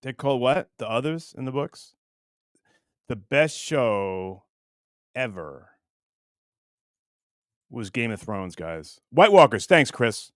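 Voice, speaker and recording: A man hosting a casual livestream, rambling and halting as he chats with viewers in a crude, jokey, profane way. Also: medium pitch, very animated, a bit bright, no echo, clean studio sound. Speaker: livestream host.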